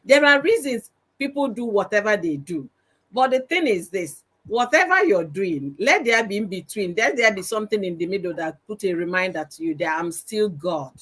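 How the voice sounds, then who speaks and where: A woman's voice: mid-range voice, animated, moderate pace, a bit bright, breathy edge. Nigerian woman hosting a preachy chat. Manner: preachy, asks "you understand?" often. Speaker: host. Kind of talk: casual panel chat.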